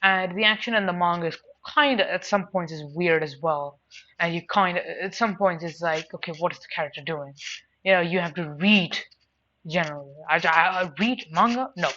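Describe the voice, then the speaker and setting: A woman's voice: fairly low pitch, a little fast, sharp tone. A woman giving a casual, unscripted opinion review, rambling and repeating words emphatically for stress. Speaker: anime and manga reviewer. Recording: dull lo-fi mic with faint noise.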